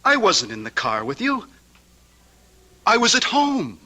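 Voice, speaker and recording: A man's voice: high voice, huge pitch swings, measured pace, heavy background noise, heavily acted. A man playing an agitated, evasive husband in an old-time radio drama, speaking in an emphatic, exasperated way. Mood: bewildered, urgent. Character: agitated, evasive husband, an accountant, defensive and private.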